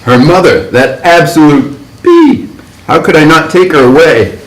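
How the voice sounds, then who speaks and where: A hip 1950s writer full of slang and swagger, played by a man. His voice leaps up and down with animated energy. It sounds roomy, with a clear echo. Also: fairly high pitch, a little slow. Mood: jazzy, excited.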